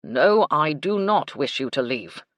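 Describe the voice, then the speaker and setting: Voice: medium pitch, sing-song, a little slow, a bit bright, no room sound. A narrator voicing a stiff, formal male hotel manager who speaks in a brittle, proper way.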